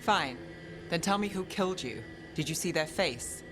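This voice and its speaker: A woman's voice: fairly low pitch, lively intonation, moderate pace, natural voice. An actress playing a terse, cynical female detective in a fantasy game, with a firm, understated delivery. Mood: firm, interrogating. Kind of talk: dramatic game acting. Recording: game music behind.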